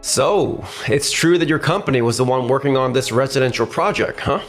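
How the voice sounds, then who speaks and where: A man voicing an overconfident, pushy young real estate salesman in an animated manga dub style. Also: medium pitch, brisk, close to an everyday voice. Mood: exasperated. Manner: cocky, persuasive, animated. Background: faint background music.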